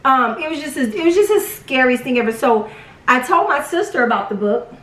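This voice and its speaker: A young woman telling a personal story casually, with animated, dramatic retelling and quoted dialogue. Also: sits high, brisk. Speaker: vlog storyteller. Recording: roomy echo, distant from mic.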